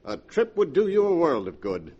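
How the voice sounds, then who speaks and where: Menacing smooth schemer, lively and husky. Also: male voice, on the high side, normal speed, highs cut off, heavily acted. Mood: smooth pressure. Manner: commanding, coolly manipulative. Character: menacing, smooth-talking male blackmailer and schemer. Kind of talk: 1940s radio horror drama acting. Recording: vintage 1940s radio recording.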